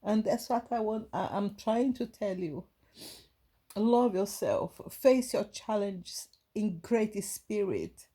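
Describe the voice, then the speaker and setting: A woman from Brazil with a Brazilian Portuguese accent, giving a gentle, halting inspirational talk to her viewers with many restarts. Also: medium pitch, expressive, breathy edge. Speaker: spiritual vlogger.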